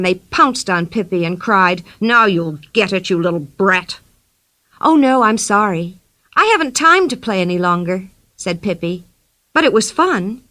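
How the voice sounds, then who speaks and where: A woman's voice: on the high side, fairly bright tone, no echo. A woman narrating a children's storybook as an audiobook reader.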